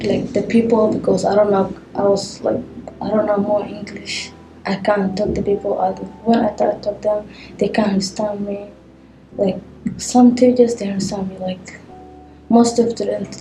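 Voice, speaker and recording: Woman from kenya, flat delivery, simple halting english, roomy, music clearly behind. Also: mid-range voice. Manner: simple phrasing, searching for English words. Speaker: immigrant student.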